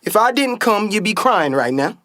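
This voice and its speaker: A man's voice: high-pitched, very animated, moderate pace, pushed and bright, no room sound, studio quality, heavily acted. A man playing a folksy young stunt pilot brother. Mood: exasperated. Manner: folksy Southern-style vernacular. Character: young stunt pilot older brother, bold but protective. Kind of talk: stage-style radio play acting.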